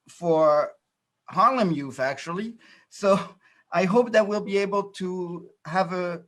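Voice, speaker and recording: A man speaking as a political candidate at an online forum, earnest and halting, with frequent fillers, on a video call. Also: high-pitched, fairly bright tone. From France, New York and Dominican Republic.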